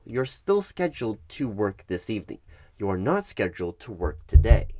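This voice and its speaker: Man playing a low-voiced, bureaucratic HR manager. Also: a little mellow, no room sound, full character voice. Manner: hesitant, repeats himself, patiently insistent. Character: patronizing, bureaucratic male HR recruiting manager. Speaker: HR manager in roleplay. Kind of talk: one-sided ASMR roleplay, conversational.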